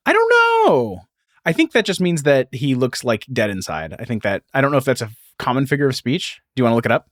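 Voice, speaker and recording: A man's voice: medium pitch, big pitch swings, quick pace, no echo. Dry-recorded man explaining things conversationally. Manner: explanatory, interjects asides. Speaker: podcast host. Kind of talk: conversational history podcast with read-out quotes.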